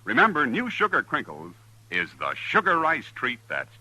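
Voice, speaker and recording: An American man pitching a product as an announcer in a 1950s radio advertisement. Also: huge pitch swings, normal speed, sharp tone, no echo. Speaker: commercial announcer. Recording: muffled old radio, faint music.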